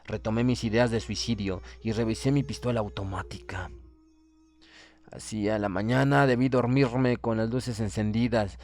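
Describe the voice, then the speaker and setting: A man reading a literary horror tale as an audiobook narrator, in a measured, formal and solemn dramatic delivery. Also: lowish voice, fairly even intonation, darkish tone, faint music behind.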